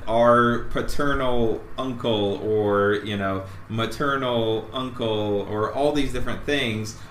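A man speaking as an interview guest, explaining things thoughtfully in a conversational, halting way and repeating words as he goes. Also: mid-range voice, steady tone, fairly bright tone. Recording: echoey room with faint background noise.

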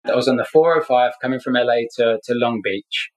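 A middle-aged man with a London accent shares a personal story as a podcast guest. His voice sits at an average pitch. He speaks earnestly and repeats himself to drive a point home. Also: a little fast. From Scotland, grew up in London. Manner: earnest, emphatic repetition.

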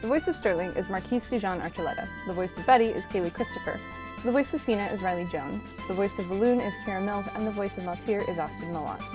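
A woman's voice: medium pitch, fast talker, music in the background. A woman reading scripted podcast credits as an announcer.

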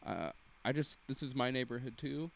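A man's voice: mid-range voice, lively intonation, normal speed, vocal fry. A man voicing a sheepish, apologetic deer who rambles and stumbles through his excuses.

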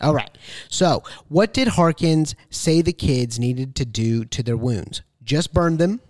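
A man's voice: on the high side, lively intonation, takes it slowly, a little mellow, dry recording. A man hosting a casual podcast quiz, reading out questions and answer choices. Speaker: podcast host, quizmaster.

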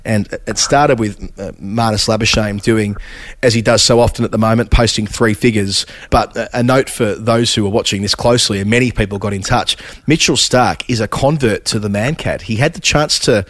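A man, hoarse and raspy from a cold, hosting a casual cricket chat full of scores and restarts. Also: on the low side, fairly even intonation, fairly quick, fairly bright tone, dry recording. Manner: stat-heavy, with frequent fillers and restarts. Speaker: cricket podcast host.

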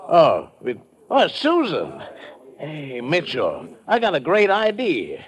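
An actor playing a boisterous, naive American tourist in an old-time radio drama, effusive and folksy, often repeating himself. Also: male voice, fairly high pitch, wildly swooping pitch, takes it slowly, lo-fi sound, full character voice. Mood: eager.

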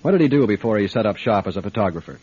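An actor playing a brisk, wry private detective in a radio drama, with a clipped, matter-of-fact delivery. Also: male voice, lowish voice, animated, darkish tone, husky, muffled recording. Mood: probing. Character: hard-boiled male private detective, brisk, wry, businesslike. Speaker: lead actor and narrator. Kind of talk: radio detective drama with first-person narration.

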